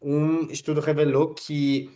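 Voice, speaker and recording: A man whose first language is Spanish hosts an interview in Portuguese, speaking haltingly with many filler sounds and restarts. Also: a little high, flat delivery, mellow. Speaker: interview host.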